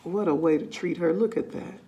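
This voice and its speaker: A woman's voice: on the low side, moderate pace, dark tone, highs cut off, a little hiss or hum. A woman reading a children's story aloud expressively, stressing key words and adding casual asides.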